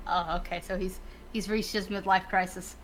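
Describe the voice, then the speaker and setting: A woman's voice: medium pitch, fairly even intonation, moderate pace, a bit bright, slight background noise, low-quality recording. A woman co-hosting a casual podcast, speaking bluntly and swearing a lot.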